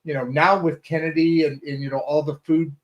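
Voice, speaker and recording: A man's voice: on the high side, flat delivery, a little fast, muffled recording. A man hosting a talk show, chatting casually and rambling through his guest's introduction with many restarts and fillers. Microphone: video call setup from a home studio.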